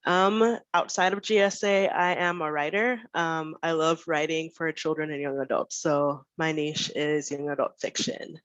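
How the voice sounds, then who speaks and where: A woman's voice: low voice, fairly even intonation, unhurried, few pauses, no echo. Friendly woman, dry muffled call audio. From South Carolina. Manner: friendly, casual, with ums. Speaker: program coordinator. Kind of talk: self-introduction on a video call. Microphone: video call.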